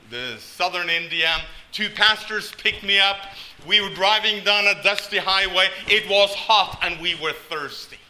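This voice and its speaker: A man's voice: on the high side, sharp tone, slight background noise, poor audio. An older man from Norway preaching a sermon, telling stories with folksy, self-deprecating humor. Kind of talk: sermon with storytelling.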